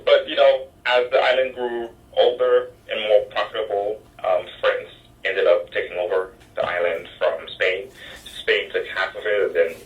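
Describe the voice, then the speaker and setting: A man from Haiti speaks as a guest over a phone line, his voice very low. He pauses often and fills the gaps with ums. The sound is roomy and distant. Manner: halting, frequent ums. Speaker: guest, think tank director. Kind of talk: phone-in interview.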